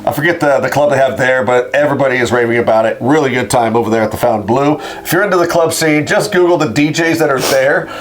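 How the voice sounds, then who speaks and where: A man's voice: mid-range voice, quick pace, hardly any pauses, slight background noise, poor audio. A man co-hosting a casual chat, teasing his partner and rambling through jokes. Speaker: podcast co-host, title company rep.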